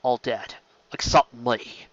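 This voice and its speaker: Man acting a hushed, grief-stricken survivor. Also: medium pitch, steady tone, no room sound, lo-fi sound. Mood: bleak, haunted. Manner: stilted, precise, halting delivery. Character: grief-stricken, stilted Englishman, traumatized survivor.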